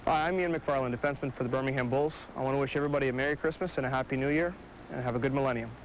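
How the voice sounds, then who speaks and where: A man's voice: medium pitch, racing, a little hiss or hum, rough recording. A man, a hockey player, delivering a short scripted greeting to camera.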